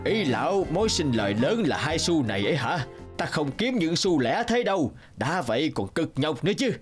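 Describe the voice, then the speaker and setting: Pompous, animated greedy rich man. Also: fairly high pitch, normal speed, music in the background. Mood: haughty.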